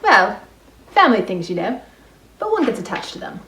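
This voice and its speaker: A woman's voice: mid-range voice, sing-song, moderate pace, bright, forceful tone, roomy sound, faint music behind, low-quality recording. A woman playing a prim, reserved, genteel lady librarian in a dramatized novel, speaking politely and properly. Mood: polite, modest.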